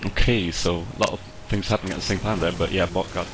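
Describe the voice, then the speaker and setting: Young man, Swedish accent, very low voice, game commentary, hesitant, fillers, audible noise and clicks. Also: steady tone, fast. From Sweden. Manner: hesitant, lots of fillers and restarts. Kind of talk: casual video game commentary. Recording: clear background noise with clicks.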